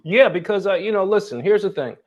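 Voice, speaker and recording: A man's voice: high-pitched, expressive, brisk, dull audio. A man with an American accent hosting a casual interview, talking in a boastful, profane way. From North Philadelphia and Brooklyn, United States. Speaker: interview host. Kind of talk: casual conversation.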